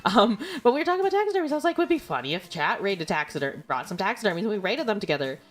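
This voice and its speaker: A woman's voice: medium pitch, big pitch swings, rapid-fire, faint music behind. A young adult woman streaming, chatting casually and rambling with lots of fillers and giggles. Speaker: VTuber streamer. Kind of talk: casual livestream chat.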